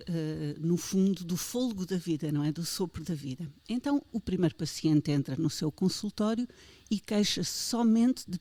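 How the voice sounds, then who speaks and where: A woman's voice: medium pitch, warm tone, dry recording. A woman with a European Portuguese accent hosting a health podcast, interviewing a guest with playful, theatrical questions. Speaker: podcast host.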